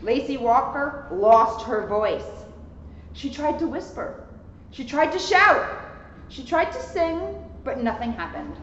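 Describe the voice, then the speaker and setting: A woman's voice: sits high, sing-song, takes it slowly, fairly bright tone. A young adult woman reads a children's storybook aloud expressively, with chatty, talkative asides. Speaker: police officer reading a children's book. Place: indoor lounge at a borough hall. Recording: echoey reverberant room, muffled, some noise.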